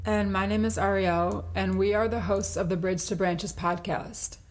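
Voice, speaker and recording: Woman co-host reading a steady intro. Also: mid-range voice, moderate pace. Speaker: podcast co-host. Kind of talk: scripted podcast intro.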